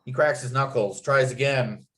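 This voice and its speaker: A man reading screenplay scene headings and action aloud as narrator, with expressive storytelling delivery. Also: mid-range voice, normal speed.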